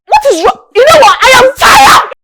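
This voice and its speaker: An actress playing a furious, betrayed wife, scolding and confrontational in a melodramatic drama. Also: female voice, high voice, big pitch swings, unhurried, fairly bright tone, heavily acted. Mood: furious, scornful. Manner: scolding, confrontational, repeating demands. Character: furious betrayed wife throwing out her cheating sister. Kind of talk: melodramatic screen drama acting.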